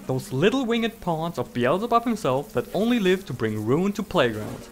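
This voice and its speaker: A man's voice: on the high side, sing-song, moderate pace, a little hiss or hum. A man telling comedic scripted stories in a theatrical, sarcastic, mock-dramatic manner. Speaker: storytelling video creator.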